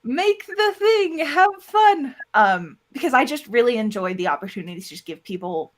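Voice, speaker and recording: An audio drama producer speaking casually as an interview guest, animated and often acting out little quoted lines. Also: mid-range voice, normal speed.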